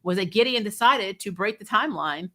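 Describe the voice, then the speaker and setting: A woman giving a casual, opinionated recap as an online reviewer, expressive and informal in her slangy delivery. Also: mid-range voice, brisk. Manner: expressive, informal slang, frequent laughing asides. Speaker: YouTube TV show reviewer, host.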